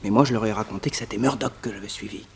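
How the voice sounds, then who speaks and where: An actor playing a detective's loyal, eager young nephew who tells the story in a radio serial. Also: male voice, lowish voice, very fast, vocal fry, big-room sound, a little hiss or hum. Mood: confiding.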